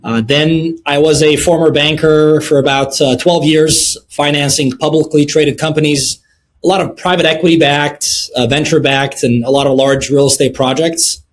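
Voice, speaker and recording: A middle-aged man from Lebanon answering interview questions in a measured way, with many filler sounds between phrases. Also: a little high, fairly even intonation. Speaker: guest, precious metals dealer.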